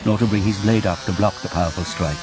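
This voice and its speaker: Deep-voiced man narrating grim audio drama. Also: steady tone, rough recording. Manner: grave, deliberate, intense. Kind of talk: dramatic scripted fiction narration. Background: music.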